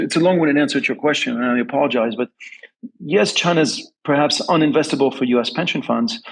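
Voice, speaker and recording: A man's voice: mid-range voice, creaky, slightly rough, poor audio. A man from France with a slight French accent, answering interview questions thoughtfully and often repeating words as he thinks aloud. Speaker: guest investment strategist.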